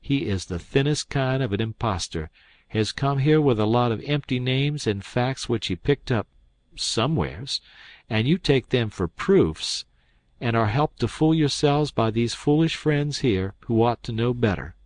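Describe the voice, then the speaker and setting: A man's voice: mid-range voice, lively intonation, a little slow, dry recording, close to an everyday voice. A man voicing a blunt, honest, indignant doctor in an audiobook, scolding and emphatic. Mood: stern pleading. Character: blunt, indignant iron-jawed male doctor, stern and honest.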